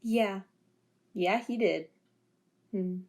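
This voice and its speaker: A woman voicing a sly policewoman in an audio drama. Her voice sits at a middle pitch, and she speaks in a sly, teasing way. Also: drawn-out words, darkish tone. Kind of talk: amateur audio drama acting.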